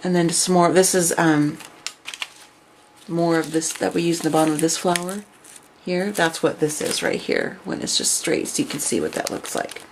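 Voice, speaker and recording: A woman casually showing her craft projects and chatting about them, her voice raspy from overuse and her talk full of fillers. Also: fairly low pitch, moderate pace, a little hiss or hum. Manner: chatty, filler-heavy, enthusiastic. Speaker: craft vlogger. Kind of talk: casual show-and-tell.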